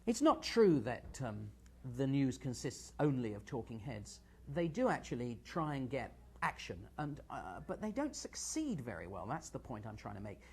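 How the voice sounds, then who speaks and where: A man hosting a live show and analysing TV news, talking to viewers in a halting, conversational, digressive way. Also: medium pitch, huge pitch swings, gravelly, darkish tone. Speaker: host and media analyst.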